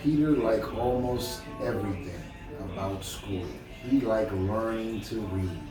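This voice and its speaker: A man's voice: fairly low pitch, unhurried. A man reading a children's storybook aloud, chatting casually and encouragingly and putting questions to his listener. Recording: echoey room, distant mic, music behind. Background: music.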